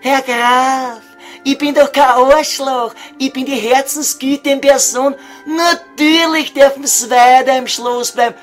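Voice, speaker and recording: A man's voice: very high-pitched, fairly even intonation, a little slow, soft background music. A man playing a cheeky, foul-mouthed estate agent in a comedy audio sketch, talking colloquially with a Viennese accent. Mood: smug. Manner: crude, wheedling, colloquial.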